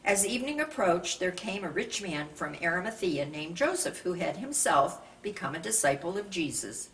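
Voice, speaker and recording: A woman reading a Bible narrative aloud from a script in a measured, deliberate way, as a scripture reader. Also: lowish voice, sing-song, a bit bright. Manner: measured, raises voice for quoted speech. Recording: distant microphone with faint background noise.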